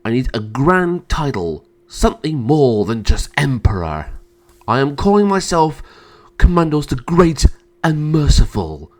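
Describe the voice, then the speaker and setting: A man's voice: mid-range voice, very animated, unhurried, voice pushed up. A man playing a cruel, vain, raging emperor in a theatrical, imperious and menacing manner. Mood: haughty. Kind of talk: amateur dramatic storytelling.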